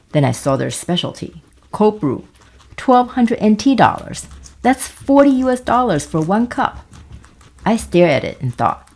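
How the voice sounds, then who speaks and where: A woman in her fifties from Taiwan, narrating a scripted personal video essay with wry asides. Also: mid-range voice. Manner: wry, conversational, with asides and jokes.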